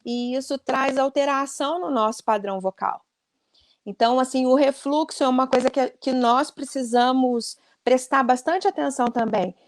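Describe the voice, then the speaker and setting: A woman's voice: sits high, normal speed, no room sound. A woman speech therapist giving an earnest explanatory talk on a video call, often adding "né?". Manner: earnest and explanatory, many "né?" tags.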